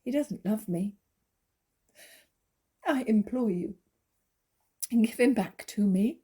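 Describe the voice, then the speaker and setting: An actress playing a naive, jealous young wife, pleading and accusing in stagey dramatic dialogue. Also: female voice, medium pitch, takes it slowly, warm tone, slightly rough. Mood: hurt, imploring. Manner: imploring, emotional, accusatory.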